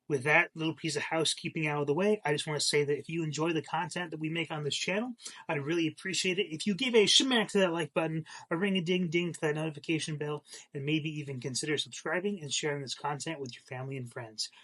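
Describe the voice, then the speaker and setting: A man's voice: fairly high pitch, fairly bright tone. Young male host, mild congestion, loose rambling commentary to camera. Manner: rambling, repeats words, many fillers. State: slightly congested from a cold. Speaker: channel host.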